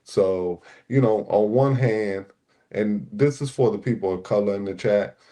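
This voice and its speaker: A man's voice: lowish voice, a little slow, warm tone. A man born in Puerto Rico and raised in Newark, New Jersey, a panel regular talking in casual livestream chat, laid-back with many "you know" fillers.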